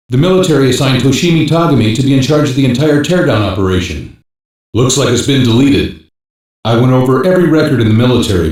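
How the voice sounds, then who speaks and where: A man's voice: medium pitch, a little mellow, lightly acted. An actor playing a male intelligence hacker on a cyber-police team, giving matter-of-fact, clipped technical briefings as scripted video game lines. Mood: serious, focused. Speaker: voice actor in an English dub. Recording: very echoey, distant-sounding game audio capture.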